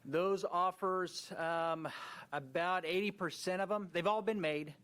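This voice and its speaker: A man's voice: high-pitched, unhurried, a bit bright, clear, resonant tone. An American Midwestern man on county staff explaining a project at a commission meeting, with many fillers and restarts.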